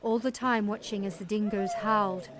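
A woman's voice: mid-range voice, steady tone, normal speed, very noisy, lightly acted. A woman playing a resolute young settler mother, telling her story in intense, dramatic first-person narration. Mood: tense, haunted. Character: resolute young settler mother recounting a wild dog attack.

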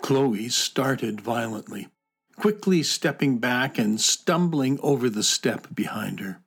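Slow, measured male storybook narrator. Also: medium pitch, expressive, breathy edge, soft background music.

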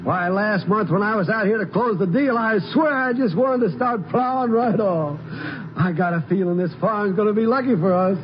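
High-pitched male actor as folksy farmer husband, optimistic and neighborly; muffled vintage radio drama sound. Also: normal speed, a little hiss or hum, natural voice. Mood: eager, upbeat. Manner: folksy, rustic speech. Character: hopeful, friendly farmer husband and father moving west. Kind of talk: old-time radio drama acting.

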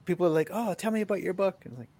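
A man's voice: sits high, lively intonation, very fast, mellow, no echo. Casual man, close dry sound. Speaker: published author on a panel. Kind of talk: casual chat.

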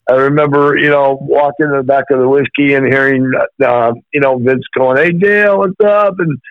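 A man's voice: medium pitch, no room sound. Rambling male musician on a dull phone line. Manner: rambling, many restarts and fillers. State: slightly rough voice after rehearsal. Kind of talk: phone interview, reminiscing. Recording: phone call, dull and dry.

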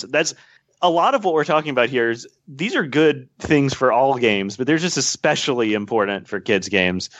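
A man's voice: mid-range voice, a bit bright, no echo. Game designer guy talking it through, lots of uh and you know, muffled dry recording. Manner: thoughtful, explains at length with fillers. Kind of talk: casual podcast chat. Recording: dull, dry podcast audio.

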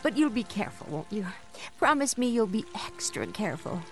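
A woman's voice: medium pitch, sing-song, a little fast, soft background music. An actress playing an anxious, loving mother of a grown son, fretful and pleading, in 1940s radio drama style. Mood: worried, tender.

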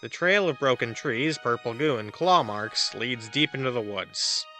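Man playing a bright, punchy, breezy god podcaster. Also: medium pitch, animated, unhurried, soft background music. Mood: reading a letter. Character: cheerful deluded god dispensing absurd, dangerous advice. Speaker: advice podcast host. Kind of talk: comedic audio drama monologue, advice show.

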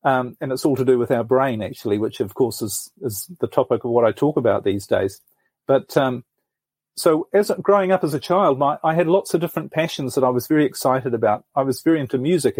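A middle-aged man tells his story thoughtfully, as if working it out as he speaks. His voice is husky and a little breathy, and it sits mid-range. It is recorded close and dry, with no room sound. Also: medium pitch, a little fast, darkish tone, dry recording. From New Zealand. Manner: earnest, thoughtful storytelling with frequent restarts. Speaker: podcast guest. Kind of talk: reflective life story in an interview.

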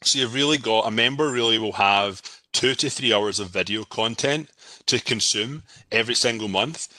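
A man's voice: fairly low pitch, normal speed, pushed and bright, slight background noise, low-quality recording. A man from Scotland in his thirties, with a Scottish accent, chatting casually as a podcast guest, often repeating words and laughing easily. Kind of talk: casual interview chat.

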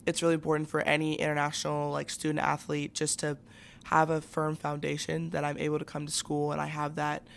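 A woman's voice: low-pitched, monotone, fairly quick, vocal fry, slight background noise. A young woman in her late teens chatting casually as a student athlete guest in an interview, with lots of youthful slang and fillers.

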